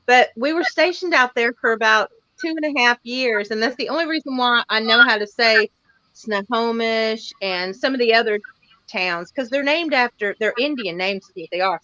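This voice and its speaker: A woman with a Tennessee accent talks in a folksy, self-deprecating, chatty way. Her voice sits a little high and rings out brightly. The sound is close and dry, as on a casual livestream. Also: moderate pace, no room sound, highs cut off. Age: 40s. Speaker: livestream co-host. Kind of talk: casual chat.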